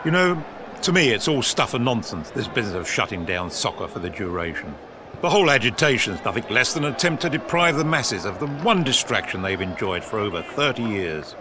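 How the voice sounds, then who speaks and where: An actor playing a gruff, opinionated senior government official in a period audio drama, clipped, dry and authoritative. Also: male voice, medium pitch, big pitch swings, a little fast, natural voice. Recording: outdoor sports crowd and noise behind.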